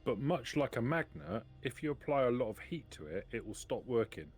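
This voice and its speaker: A man's voice: mid-range voice, animated, brisk, a little mellow, slightly rough, faint music behind. A man chatting casually as a player in a tabletop roleplaying game, with a dry joking manner.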